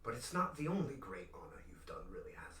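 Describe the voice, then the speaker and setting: A man voicing a gracious, regal king in a tabletop game, speaking in measured, formal phrases. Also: mid-range voice, big pitch swings, fairly bright tone, voice pushed up. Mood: grateful, solemn. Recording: roomy, distant mic with faint noise.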